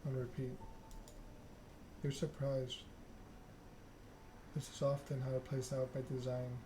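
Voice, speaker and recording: Man reading softly paced ASMR, even-toned. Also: medium pitch, takes it slowly, noisy background. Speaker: streamer reading a narrative game aloud. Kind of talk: ASMR narration of game text.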